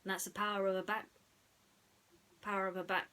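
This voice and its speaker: A woman narrating a bedtime story in an expressive, deliberate way, with chatty asides. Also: mid-range voice.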